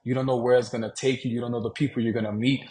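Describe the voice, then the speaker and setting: A man from Louisiana chatting as a podcast guest. His delivery is loose and conversational, full of "man" and "you know", and his voice sits at an ordinary middle pitch. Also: little pitch movement, racing, darkish tone. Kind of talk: casual interview talk.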